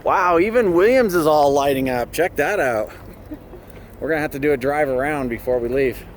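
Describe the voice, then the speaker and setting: A man's voice: a little high, moderate pace. A man hosting a casual travel vlog outdoors, cheerful and joking as he points out the sights. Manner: upbeat, joking, tour-guide pointing things out. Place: outdoors at a busy train depot. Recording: noisy crowd and music behind. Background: crowd chatter and music.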